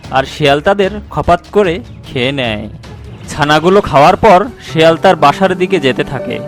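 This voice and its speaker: A male narrator tells a scripted animated story for children. His voice is pitched a little high. Faint music plays behind the narration.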